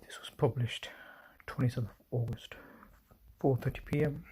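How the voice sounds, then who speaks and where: Man whispering low on a phone stream. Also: steady tone, normal speed, warm tone, poor audio. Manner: talking low, often whispering, with apologies and restarts. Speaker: livestream host. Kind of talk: solo livestream commentary. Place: indoors at home, quiet room.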